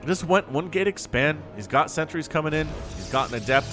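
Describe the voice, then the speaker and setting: A man's voice: fairly high pitch, expressive, fairly quick, fairly bright tone, soft background music. A young man with a sniffly cold, giving chatty solo commentary on a strategy game and wandering off on jokey tangents. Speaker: StarCraft shoutcaster.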